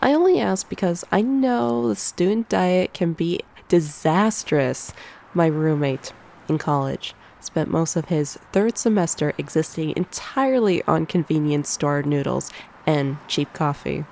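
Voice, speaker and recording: A woman playing a teasing, caring succubus teacher in a soft ASMR roleplay on a close binaural microphone. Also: sits low, lively intonation, unhurried, vocal fry, a little hiss or hum, heavily acted. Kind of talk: ASMR roleplay, one-sided conversation.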